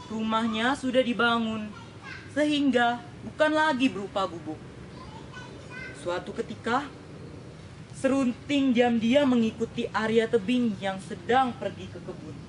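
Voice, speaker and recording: Young female storyteller, high-pitched, deliberate dramatic folktale delivery, faint noise behind. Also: poor audio. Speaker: storytelling contestant.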